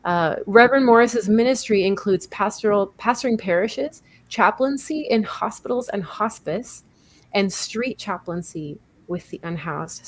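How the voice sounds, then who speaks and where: A woman hosting a live online talk show, earnest and explanatory, introducing her co-host and guest over a video call. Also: medium pitch. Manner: earnest, explanatory, with some fillers and self-corrections.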